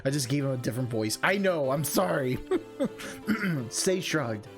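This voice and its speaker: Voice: mid-range voice, animated, unhurried. A streamer reading a visual novel aloud and adding informal, self-deprecating asides. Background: music.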